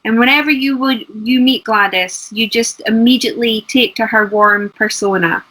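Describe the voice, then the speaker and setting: A woman's voice: on the high side, pushed and bright, rough recording. A woman, co-hosting a casual chat, affirming and agreeable. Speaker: co-host.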